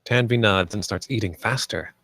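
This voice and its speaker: Low-voiced man reading screenplay directions. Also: normal speed, a bit bright, dry recording. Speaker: stage directions reader. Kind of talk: scripted narration at a screenplay table read.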